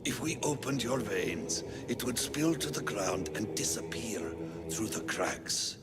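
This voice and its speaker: A man voicing a cold, contemptuous cult villain who taunts in a gravelly voice that sinks into breathy whispers, in video game style. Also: fairly low pitch, animated, a little slow, over loud music. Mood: cold contempt. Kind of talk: dramatic video game acting.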